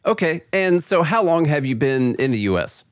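A man's voice: a little high, big pitch swings, normal speed, no room sound, clean studio sound. A man hosting a podcast and conducting a conversational interview. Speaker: podcast host.

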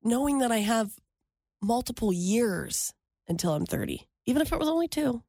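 A woman in her late twenties bantering casually as a show co-host, with an animated, self-dramatizing manner. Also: fairly high pitch, moderate pace, slightly rough, dry recording, clean studio sound. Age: 28, turning 29.

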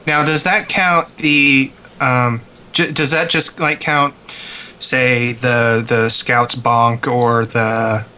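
Male co-host, bright forceful voice, mid pitch, joking, halting phrasing, casual chat. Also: steady tone, clean tone. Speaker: podcast co-host. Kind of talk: casual gaming podcast chat.

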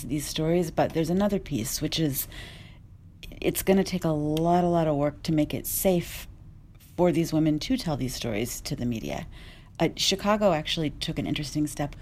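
A woman journalist speaking earnestly on a discussion panel, measured and with small restarts. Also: low-pitched, darkish tone, slight background noise.

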